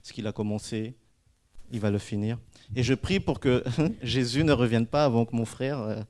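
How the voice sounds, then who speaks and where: A man's voice: mid-range voice, moderate pace, darkish tone. A man sharing a personal church testimony and then praying aloud, hesitant with many fillers and restarts. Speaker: church member giving testimony and prayer. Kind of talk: personal testimony and spoken prayer.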